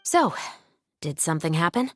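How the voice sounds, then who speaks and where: A woman's voice: sits low, very animated, no echo. A wry, confident female patrol captain. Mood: wry, wary. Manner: wry, measured, matter-of-fact. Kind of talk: video game voice acting. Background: game music.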